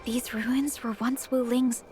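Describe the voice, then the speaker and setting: A woman's voice: fairly high pitch, level tone, slow pace, over music. An actress playing a serious, observant woman teammate in a video game story, speaking earnestly and formally. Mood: somber.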